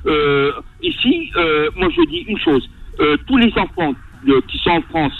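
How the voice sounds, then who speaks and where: A man's voice: extremely high pitch, wildly swooping pitch, unhurried, gravelly. A man calling in to a radio show, talking hesitantly with many fillers and restarts. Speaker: radio caller. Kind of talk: call-in discussion. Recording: gritty distant phone line.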